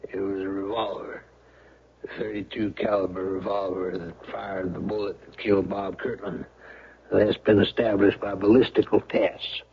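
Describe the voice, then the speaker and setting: An actor playing a plain-spoken, courteous small-town sheriff in a radio drama, with a husky voice and folksy, deliberate talk. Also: male voice, low-pitched, little pitch movement, full character voice. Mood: matter-of-fact. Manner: folksy grammar, deliberate, deferential with "ma'am". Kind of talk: 1970s radio drama acting. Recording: muffled old radio broadcast recording.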